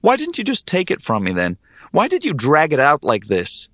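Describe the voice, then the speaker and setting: A man's voice: fairly high pitch, very animated, measured pace, dry recording, clean studio sound, voice pushed up. A man playing an earnest, determined young boy hero in an audiobook fantasy tale, with an indignant edge. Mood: bitter, indignant. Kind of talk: audiobook character acting.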